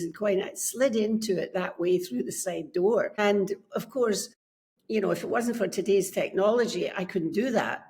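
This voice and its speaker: An older woman with a Scottish accent, a book coach and podcast guest, telling her story in a measured, friendly way on a remote call. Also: medium pitch, a little mellow. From Scotland. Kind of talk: casual interview chat. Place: home, on a remote call from abroad.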